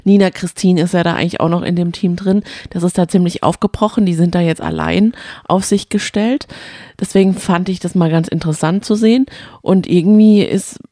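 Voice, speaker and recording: Low, mellow-voiced woman. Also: steady tone, brisk, no room sound, studio quality. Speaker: podcast co-host. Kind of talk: casual chat about reality TV.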